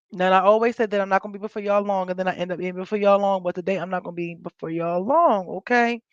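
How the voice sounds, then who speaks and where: The raspy American woman you'd find going live from her home office with a scratchy cold-day throat, rambling candidly to her regulars through a close, slightly muffled mic. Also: medium pitch, no echo. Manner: rambling, unfiltered, folksy. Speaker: livestream host. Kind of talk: casual live chat with viewers.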